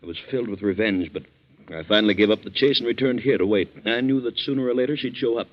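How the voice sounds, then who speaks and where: A man's voice: on the low side, quick pace, lightly acted. An actor playing a stern, guarded middle-aged father with a brooding manner, in a 1940s radio drama. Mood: measured, probing. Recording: muffled 1940s radio broadcast.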